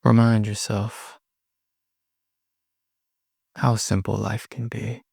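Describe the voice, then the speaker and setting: A man guides a meditation in a low voice with plenty of gravelly fry. His delivery is very slow and soothing, broken into short phrases with long pauses between them. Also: level tone, darkish tone, no echo, studio quality.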